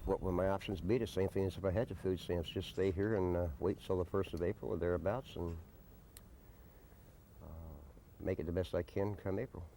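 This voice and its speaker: An American man answering interview questions in a deliberate, plain-spoken way with folksy turns of phrase. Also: sits low, fairly even intonation, dark tone. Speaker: interviewee, desert camp resident. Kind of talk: unscripted documentary interview answers. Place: informal desert camp on location. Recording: noisy early 1990s field video recording.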